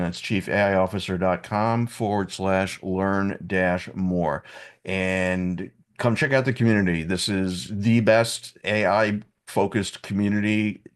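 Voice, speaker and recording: A man hosts a live online Q&A session over a video call, his voice hoarse and roughened by an oncoming cold. He talks in a relaxed, chatty way, leaning on frequent "um"s, and his speaking voice sits on the lower side. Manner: relaxed, chatty, many "um" fillers.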